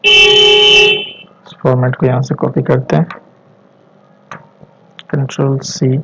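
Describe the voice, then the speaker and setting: Slow, halting young Indian man teaching. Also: mid-range voice, wildly swooping pitch, pushed and bright, a little hiss or hum. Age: 20s. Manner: halting delivery in short phrases, mixing in English terms. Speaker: programming instructor. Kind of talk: screen-recorded tutorial, explaining step by step. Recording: dull, lo-fi voice recording.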